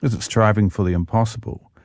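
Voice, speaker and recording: A man interviewing a guest, asking measured, leading questions. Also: lowish voice, steady tone, a little mellow, no echo, studio quality. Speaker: radio interviewer.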